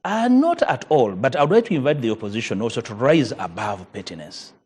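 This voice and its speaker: A Ugandan man whose first language is Luganda, a member of parliament arguing in a TV panel debate, measured and emphatic. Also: medium pitch, a little mellow. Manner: measured, emphatic, rising on key points.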